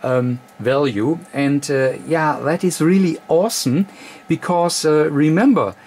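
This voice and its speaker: A man who is a non-native English speaker gives a technical walkthrough as an electronics presenter, speaking haltingly with many uhs. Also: a little high, darkish tone, slight background noise. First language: not English. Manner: halting, hesitant, frequent uhs. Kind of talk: technical walkthrough of a radio modification. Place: indoor electronics workbench, off camera.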